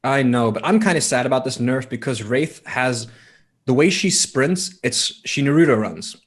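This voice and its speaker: A man's voice: medium pitch, animated, moderate pace. Chatty man explaining game news. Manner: chatty, explains eagerly, gives advice. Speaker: podcast co-host. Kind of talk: casual gaming podcast chat.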